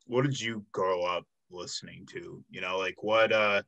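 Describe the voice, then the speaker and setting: A young male host from Illinois runs a casual interview and keeps it friendly and chatty, full of "like" and "um". His voice sits a bit low but sounds very bright and forward. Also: fairly even intonation, normal speed, vocal fry. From Illinois, United States. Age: 20s. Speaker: podcast host.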